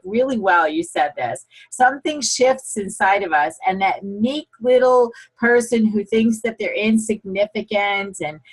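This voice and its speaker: A woman hosts a live online talk show, welcoming callers and cheering them on. She sounds encouraging and affirming. Her pitch is mid-range for a woman. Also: low-quality recording. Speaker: host. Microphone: computer video call.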